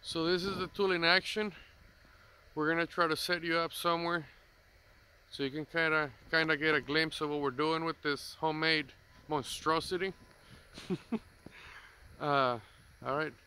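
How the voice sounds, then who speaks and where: A man's voice: on the high side, normal speed, pauses often. A man, a mechanic, casually narrating repair work step by step while filming on a phone outdoors in a work yard. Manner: relaxed, explains step by step. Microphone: phone camera. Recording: outdoor noise behind voice.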